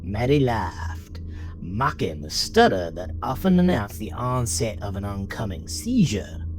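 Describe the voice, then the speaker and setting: A man's voice: on the low side, very animated, unhurried. A man narrating a scripted story in a dramatic style, as the narrator of an audio drama. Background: music behind the voice.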